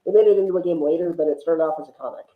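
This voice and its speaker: A chatty man talks casually about films as a podcast guest, often ready to chuckle. His voice sits in the middle to higher range for casual talk, heard through a dull recording with clear room echo. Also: racing. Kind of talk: casual film discussion. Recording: dull, echoey room sound.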